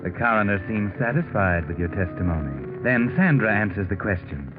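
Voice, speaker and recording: An actor playing a sardonic, ominous narrator of a 1940s radio mystery, taunting and knowing. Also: male voice, fairly low pitch, animated, moderate pace, natural voice. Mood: mocking. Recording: muffled old radio recording. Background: music.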